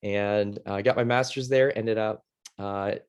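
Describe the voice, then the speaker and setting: A middle-aged American man from Ohio giving an informal online presentation as a former teacher, in a casual, halting way with many fillers. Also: fairly low pitch, fairly even intonation, smooth. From Ohio, United States. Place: video call.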